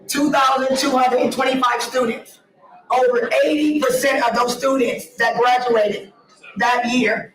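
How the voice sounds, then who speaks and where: A woman from Ohio giving an earnest, emphatic presentation about her nonprofit, her voice raspy from an early start. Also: sits high. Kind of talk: conference presentation. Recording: echoey hall, distant mic.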